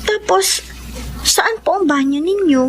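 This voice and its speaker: High-voiced caring young Filipina granddaughter. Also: female voice, unhurried. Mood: pitying. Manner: polite, earnest. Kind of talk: Filipino radio drama acting.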